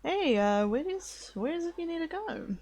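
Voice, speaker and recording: A woman playing a chatty, curious rideshare driver in an improvised ASMR roleplay, talking hesitantly with many pauses and repeats. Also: very high voice. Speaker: audio roleplay performer. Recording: noisy, with steady vehicle ambience.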